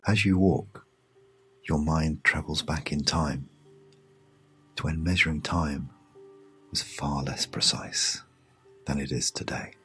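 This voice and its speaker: A British man narrating a guided sleep meditation story in a hushed, soothing, measured way with long pauses. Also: rumbling low, husky. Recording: music behind the voice. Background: music.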